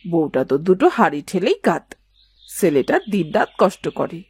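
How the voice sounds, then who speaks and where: A woman's voice: lowish voice, big pitch swings, normal speed, darkish tone, breathy edge, heavily acted. A woman playing an older village mother-in-law in a cartoon, bossy, carping and full of self-pity. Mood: complaining. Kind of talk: dramatised cartoon dialogue.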